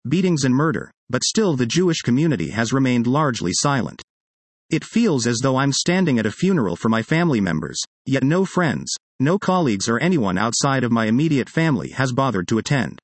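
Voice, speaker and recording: A man's voice: medium pitch, dry recording. A man reading a written article aloud as scripted narration.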